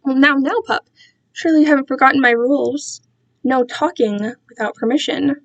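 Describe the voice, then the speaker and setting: A woman's voice: high-pitched, normal speed, muffled recording. A woman voicing a condescending male captor in a story, cooing and patronizing as he keeps control. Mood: smug, patronizing.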